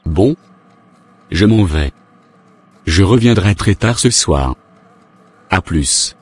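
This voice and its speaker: A curt, secretive husband who brushes off questions with irritation. His voice sits low and husky, with faint music behind him. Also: lively intonation, slow, exaggerated voice. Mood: irritated. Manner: brusque, dismissive.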